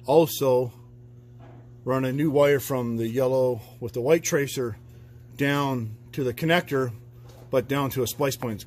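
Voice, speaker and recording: A man working as an auto mechanic, talking through a repair in a loose, rambling way with plenty of fillers. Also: medium pitch. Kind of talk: casual repair walkthrough. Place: garage, under a truck.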